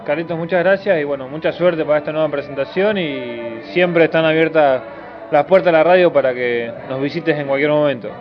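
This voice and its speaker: A man with an Argentine accent interviews a guest for radio. His voice is on the high side. The recording is a bit dull, with quiet music behind. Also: brisk. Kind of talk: interview questions.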